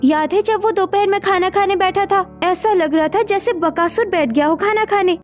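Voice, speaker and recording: A woman plays a young daughter-in-law in a poor cartoon household. She is exasperated and indignant, complaining in a very high-pitched voice over music. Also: moderate pace. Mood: grumbling, mocking.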